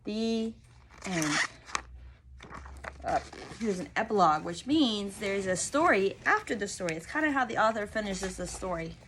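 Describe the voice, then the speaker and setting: A woman teacher reading a picture book aloud to young children, enunciating deliberately and prompting them with questions. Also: medium pitch, animated, noisy background.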